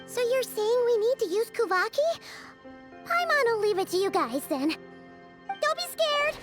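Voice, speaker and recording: An excitable little fairy sidekick from a video game chirps in a squeaky, extremely high childlike voice. The delivery is exclamatory and leaps around in pitch, with clear music playing behind it. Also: female voice, measured pace, heavily acted. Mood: curious. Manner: exclamatory, refers to self in third person. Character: Paimon, tiny excitable floating girl companion. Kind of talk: animated video game acting.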